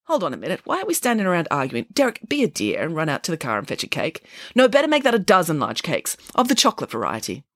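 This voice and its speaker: A woman voicing a bossy, theatrical, self-assured lady pig from a children's story, grand and brisk in manner. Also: on the low side, huge pitch swings, fairly bright tone, no echo, studio quality, heavily acted. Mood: emphatic, breezy. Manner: grandiose, brisk, dismissive, emphatic. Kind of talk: acted children's storybook dialogue.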